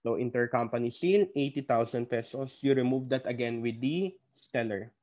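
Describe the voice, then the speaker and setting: A man's voice: medium pitch, moderate pace, darkish tone. A man with a Filipino accent lecturing as an instructor, working step by step and answering his own questions. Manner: didactic, asks questions and answers them himself. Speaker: accounting instructor. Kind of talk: classroom lecture, step-by-step problem walkthrough.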